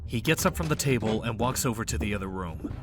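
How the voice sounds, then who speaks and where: A man's voice: on the low side, very animated, fast talker. A man reading scripted story narration for an audio drama, with music playing underneath.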